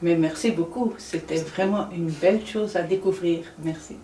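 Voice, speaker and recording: A Dutch-native woman interviewing in French, speaking softly with brief backchannels. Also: fairly low pitch, normal speed, a little hiss or hum. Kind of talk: interview questions. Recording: roomy sound from far off.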